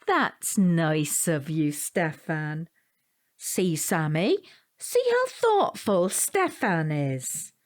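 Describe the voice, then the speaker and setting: A British woman playing a hapless, gently disapproving middle-aged mother in a comic audio drama, earnest and hesitant. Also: low-pitched, big pitch swings, very slow, exaggerated voice.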